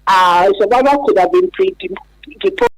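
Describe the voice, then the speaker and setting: A woman's voice: medium pitch, normal speed, no echo, poor audio. A woman with a Nigerian accent speaking as an expert guest in a radio interview, explaining emphatically with repetition and examples. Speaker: interview guest, expert on supporting trafficking survivors.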